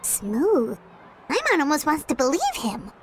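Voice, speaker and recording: A woman voicing a tiny, childlike fairy companion in a video game, squeaky and perky with a suspicious streak. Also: wildly swooping pitch, a little slow, background noise, heavily acted. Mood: skeptical. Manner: speaks of herself in third person.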